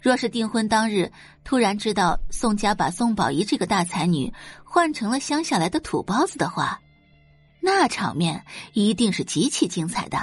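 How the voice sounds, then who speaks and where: A woman reads dramatic fiction as a storyteller, with a very varied melody. Her voice is slightly husky and sits in a roomy sound. Soft music plays behind her. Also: medium pitch, big pitch swings, normal speed. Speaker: audiobook narrator. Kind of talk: scripted novel narration, dramatic audio fiction. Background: faint background music.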